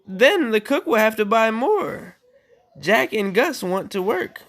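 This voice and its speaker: A woman's voice: a little high, very animated, slow, exaggerated voice. A woman voicing earnest little male mouse helpers from a fairy tale, urgent and exclaiming. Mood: worried, resolute.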